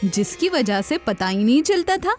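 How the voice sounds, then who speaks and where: A woman's voice: high-pitched, very animated, darkish tone, soft background music. A woman reading a scripted children's story expressively, speaking directly to young listeners.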